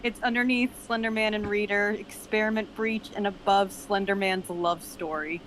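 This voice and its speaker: Mid-pitched woman chatting over faint noise. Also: fairly even intonation, moderate pace, a bit bright, low-quality recording. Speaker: stream guest. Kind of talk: casual chat.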